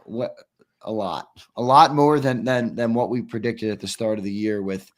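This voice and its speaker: A man's voice: lowish voice, normal speed. A man hosting a casual sports talk show, upbeat and losing his voice. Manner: upbeat, reads viewer questions and ad reads. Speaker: host.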